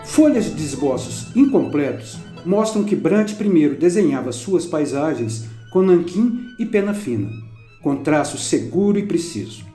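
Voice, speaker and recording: A man narrating a documentary from a script in a measured, even storytelling manner. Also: fairly high pitch. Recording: roomy narration over soft instrumental music.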